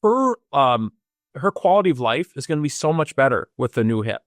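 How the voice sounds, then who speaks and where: A man's voice: mid-range voice, very animated, fairly quick, no echo, clean studio sound. A man of fifty co-hosting a talk show, agreeable and chatty with frequent fillers. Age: 50s. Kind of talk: casual political chat.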